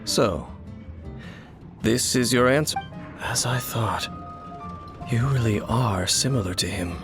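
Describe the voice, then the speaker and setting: A man playing a cold, enigmatic wanderer in a video game, speaking in a measured, reserved, formal way, with music behind him. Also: mid-range voice. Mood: probing. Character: cold, enigmatic male wanderer opposing dark forces.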